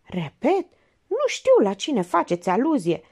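A woman's voice: fairly high pitch, huge pitch swings, darkish tone, breathy edge, voice pushed up, full character voice. Woman voicing an evasive, touchy male actor. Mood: defensive. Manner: defensive, evasive, curt.

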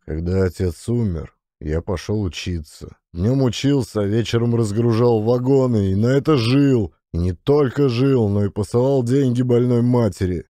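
A man's voice: medium pitch, normal speed, hardly any pauses, warm tone, no room sound, clean studio sound, natural voice. An actor voicing an indignant, stern father, a scientist who blusters and exclaims, in a comic audio play. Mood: angry, reproachful.

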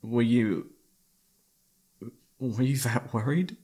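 A man's voice: mid-range voice, takes it slowly, natural voice. A man playing an exhausted, affectionate young boyfriend in an intimate ASMR roleplay, speaking hesitantly with sighs. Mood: groggy, gentle. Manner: tired, sighing, hesitant, soft-hearted.

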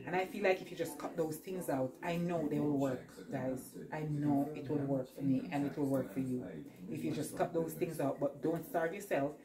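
A woman's voice: low-pitched, normal speed, reverberant, crowd noise. A middle-aged woman vlogging casually from her home kitchen, chatty and rambling as she talks to her viewers while she cooks. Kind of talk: casual cooking vlog. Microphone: camera on the counter.

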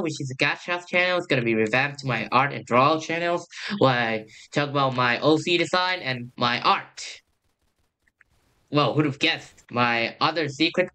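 Young guy yapping over a game, bright voice, kinda hesitant, mid pitch. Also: clean tone. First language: Thai. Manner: rambling, hesitant, trails off with "I guess". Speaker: youtuber. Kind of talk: casual gameplay commentary.

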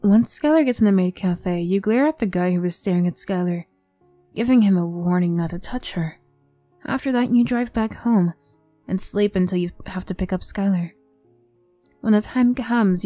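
A woman's voice: mid-range voice, normal speed, mellow, dry recording, faint music behind. A woman narrating a scripted second-person ASMR audio story.

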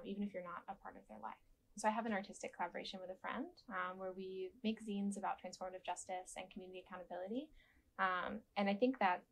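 Soft-voiced young woman, gentle and thoughtful. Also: mid-range voice, gravelly, slight background noise, low-quality recording. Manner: gentle, thoughtful, many ums and restarts. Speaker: interviewee. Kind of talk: reflective interview answers. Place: dark studio, indoors.

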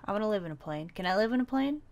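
Casting: woman as childlike girl survivor in a game roleplay, blunt, cheerful, stubborn, short simple lines, mid-pitched. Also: lively intonation, racing, a little mellow, lo-fi sound, lightly acted. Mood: wistful, insistent.

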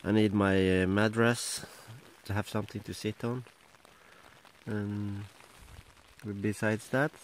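A middle-aged man with a Norwegian accent, talking to a handheld camera in a casual camping vlog, halting with many fillers. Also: on the low side, steady tone, a little hiss or hum. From Norway. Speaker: outdoor vlogger. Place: inside a small tent, then outdoors by a lake. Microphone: handheld camera at close range.

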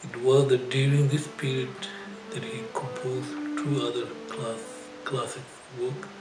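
Older guy reading a buddhist story bit by bit, really slow, roomy echo. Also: mid-range voice, very animated. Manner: halting, phrase-by-phrase delivery with frequent throat clearing. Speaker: Buddhist spiritual teacher. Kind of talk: reading a scripted life story aloud. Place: indoor shrine room. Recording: roomy echo, music behind.

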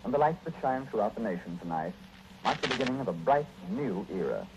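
A man's voice: fairly low pitch, sing-song, brisk, noisy background. A man with an American accent announcing in a formal, declamatory 1940s radio style from a script. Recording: muffled vintage 1940s radio broadcast.

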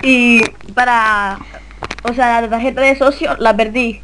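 A woman with a highish voice talks casually on a phone call. She hesitates and stalls often, with faint noise and keyboard clicks in the background. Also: fairly even intonation, measured pace, fairly bright tone. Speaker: prank caller.

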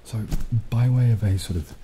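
A young man with a very low voice talks in a casual, intimate ASMR style. He drifts between soft speech and whispering, unhurried and a little hesitant, with clear noise behind him. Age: young adult. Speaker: ASMR video creator. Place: small dim indoor room. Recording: clearly audible background noise, added rain.

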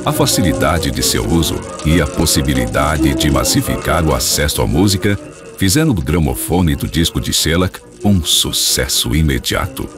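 Very deep male narrator. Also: expressive, moderate pace, loud music behind the voice. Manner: formal, dramatic storytelling. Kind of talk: scripted radio drama narration.

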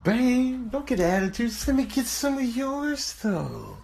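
A man voicing a whiny, wheedling pet that pleads softly in a comic animal voice-over. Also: very high-pitched, sing-song, a little slow, gravelly, slight background noise, exaggerated voice.

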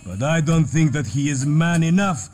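A slick foreign car salesman from a video game, played by a man, ingratiating and theatrical. His voice sits fairly high and leaps about, with faint music behind. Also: expressive, normal speed, darkish tone, lightly acted. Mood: slick, goading. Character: slick, wheedling foreign male car dealer. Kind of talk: video game cutscene acting.

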